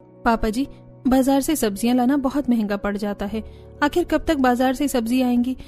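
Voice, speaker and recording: Woman playing a high-voiced, feisty young village bride. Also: moderate pace, warm tone, soft background music, natural voice. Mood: earnest. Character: hardworking, feisty young village daughter-in-law.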